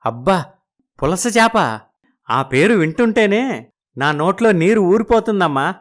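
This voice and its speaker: A man's voice: sits high, animated, a little slow. A man playing a genial, food-loving village brother-in-law in a moral story, effusive and full of exclamations. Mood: eager, agreeable.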